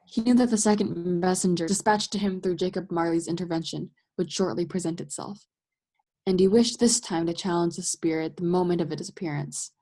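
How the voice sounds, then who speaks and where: A woman's voice: lowish voice, steady tone, brisk, dark tone. A woman acting as the narrator of a Victorian Christmas ghost tale in a radio play. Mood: measured storytelling.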